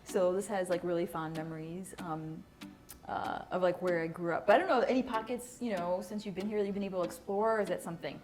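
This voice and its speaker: A woman who grew up in Mexico, hosting a casual chat in a restaurant. She talks in a lively way, laughs easily and uses many fillers. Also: on the low side, quick pace, soft background music. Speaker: host.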